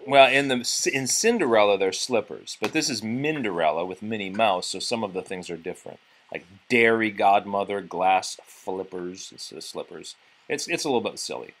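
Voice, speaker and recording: A man reads a children's story aloud in the role of a parent. The intonation is lively and expressive. Explanatory asides to children break into the narration. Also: lowish voice.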